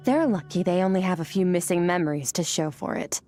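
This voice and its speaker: A woman's voice: fairly low pitch, lively intonation, fairly quick, darkish tone, soft background music, lightly acted. A woman voicing an aloof, cold young woman warrior who speaks in a cool, detached and clipped way. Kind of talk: game voice acting.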